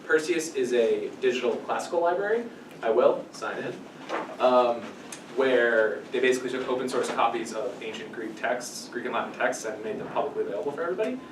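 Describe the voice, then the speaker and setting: A man's voice: mid-range voice, brisk. A man in his thirties giving an informal introductory lecture in a conversational, explanatory way, recorded by a distant camera microphone in a small meeting room. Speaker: workshop presenter. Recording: echoey room from afar, noisy.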